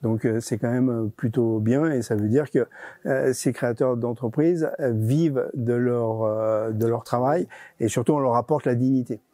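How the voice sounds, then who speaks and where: A man's voice: medium pitch, normal speed, mellow, no room sound. A man giving explanatory interview answers, hesitating and often repeating his words as he goes. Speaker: interviewee, regional deputy director of a nonprofit.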